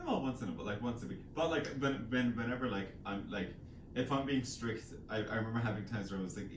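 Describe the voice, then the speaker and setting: A man's voice: mid-range voice, brisk. A man chatting casually about books in an informal, agreeable, loosely rambling way. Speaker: co-host of a book discussion channel. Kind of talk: casual chatty book discussion. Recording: echoey room, distant mic, clear background noise.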